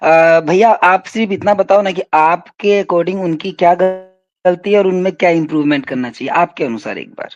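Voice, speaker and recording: A man's voice: high voice, moderate pace, dry recording, highs cut off, soft background music. An Indian man mediating a dispute in a polite, placating, questioning manner over call audio.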